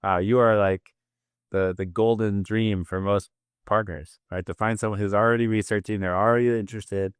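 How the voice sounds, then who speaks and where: A man's voice: on the low side, steady tone, normal speed, smooth, no echo. A man hosting an interview, asking questions in an upbeat, encouraging way. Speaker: interviewer, host.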